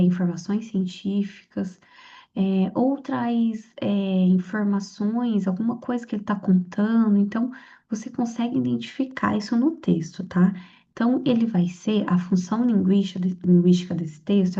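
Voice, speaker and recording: A young Brazilian woman, hoarse from a cold, chatting through her exam study notes with lots of fillers and restarts. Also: medium pitch, mellow, dull audio. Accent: Brazilian Portuguese. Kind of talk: casual study lesson to camera.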